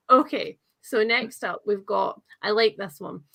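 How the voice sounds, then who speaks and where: A woman hosting a casual live book chat, talking in an enthusiastic, chatty way with animated reactions. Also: mid-range voice, takes it slowly, vocal fry. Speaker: host of a book channel livestream.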